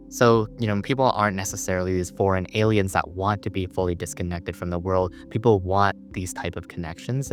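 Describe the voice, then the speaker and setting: A man with a notably low voice talks through therapy strategies as a psychologist. He keeps it conversational, often using "you know" and "like", with soft music low in the background. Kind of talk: educational talk to camera.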